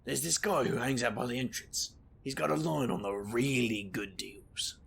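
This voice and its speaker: Gravelly, flippant wisecracking investigator played by a man. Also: medium pitch, moderate pace, a bit bright. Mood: breezy, glib. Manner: wry, glib, theatrical. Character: flippant, scheming male supernatural investigator, boastful wisecracker. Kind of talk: audio drama narration and dialogue.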